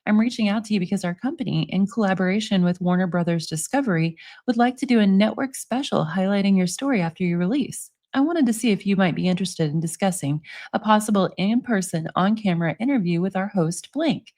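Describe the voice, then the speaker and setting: A woman in her forties hosts a commentary channel at a middle pitch. She reads documents aloud in a steady, measured way, then slips into loose, casual asides to her viewers. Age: 40s.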